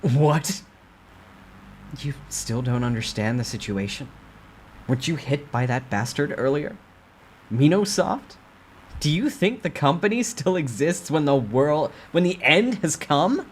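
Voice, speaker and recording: A man's voice: on the high side, expressive, a little slow, husky, voice pushed up. A man voicing a pompous, bullying department head in a storybook reading, condescending and exclamatory. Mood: angry. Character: pompous, bullying male corporate department head, sneering and indignant.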